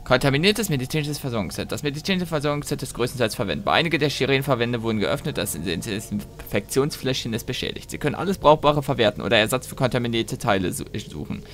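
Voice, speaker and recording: A man's voice: medium pitch, animated, a bit bright. Man rambling through gaming commentary, music behind. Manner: rambling, halting, breaks off mid-thought to react. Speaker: let's play host. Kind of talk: casual gaming commentary, thinking aloud.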